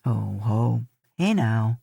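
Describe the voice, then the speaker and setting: A woman's voice: rumbling low, lively intonation, drawn-out words, vocal fry, warm tone, slightly rough, dry recording, clean studio sound, pitched below the performer's own voice, heavily acted. A woman voicing a cocky, teasing young man, the chief's son and heir. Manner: teasing, swaggering.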